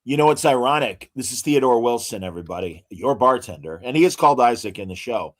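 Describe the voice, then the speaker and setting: Guy on a podcast rattling off actor credits, lots of uhs, throws in ladies and gentlemen bits, mid pitch. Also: lively intonation. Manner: reads out actor credits with frequent "uh" pauses and showman asides. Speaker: podcast co-host. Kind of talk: casual podcast chat.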